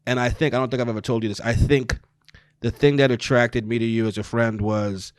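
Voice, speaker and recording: A man from New York City hosting a podcast in a small studio, chatting casually into a boom mic and laughing easily. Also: a little fast, no echo. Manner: relaxed, laughs often, loose run-on questions. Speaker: podcast host. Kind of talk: casual interview chat. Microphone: studio mic on boom arm, headphones.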